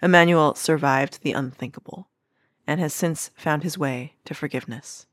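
A woman podcast host reading a scripted introduction in a measured, steady way. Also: deep voice, no echo, studio quality. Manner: measured, even-paced reading. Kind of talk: scripted narration.

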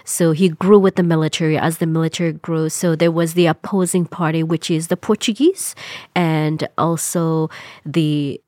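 A woman from Mozambique telling her life story in an interview, reflective and unhurried, often saying "you know.". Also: deep voice, steady tone, warm tone, no echo, clean studio sound. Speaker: interview guest.

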